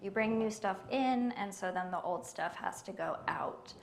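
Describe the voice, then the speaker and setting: Soft-spoken woman on a panel. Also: medium pitch, a little hiss or hum. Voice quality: quiet voice. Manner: soft-spoken and measured, with many ums. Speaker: library collection manager on a panel. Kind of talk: panel discussion answers. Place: live library event.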